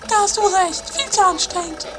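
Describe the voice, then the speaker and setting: Scatterbrained sister for comedy radio play: squeaky, very high, dithering, whiny; noisy background. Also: brisk. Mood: scatterbrained, chatty. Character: Mathilde, scatterbrained whiny sister, fussy and dramatic. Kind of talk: improvised 1980s comedy radio play, travesty.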